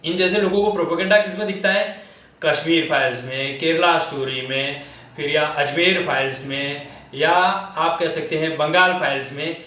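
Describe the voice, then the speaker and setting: A man's voice: on the high side, moderate pace, pushed and bright. A man giving opinionated commentary, addressing listeners directly with rhetorical questions in an indignant, sarcastic manner. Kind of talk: opinionated film and political commentary to camera. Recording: very echoey room, distant microphone.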